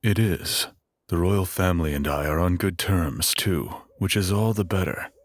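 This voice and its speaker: Wood elf bard, male actor: deep, diffident, courteous, fantasy audio drama. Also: normal speed, no room sound, lightly acted. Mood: hesitant. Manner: hesitant, courteous.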